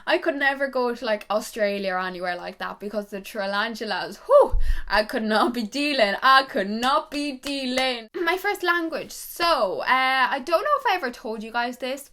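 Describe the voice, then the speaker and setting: A young woman from Ireland with an Irish accent tells personal stories to her viewers in a chatty, animated way, full of "like" and "literally.". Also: fairly high pitch. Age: young adult. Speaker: YouTuber. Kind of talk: chatty tag video storytelling.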